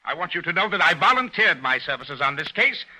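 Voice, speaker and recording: A prim, stiff defense lawyer. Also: male voice, fairly high pitch, big pitch swings, brisk, bright, forceful tone, heavily acted, rough recording.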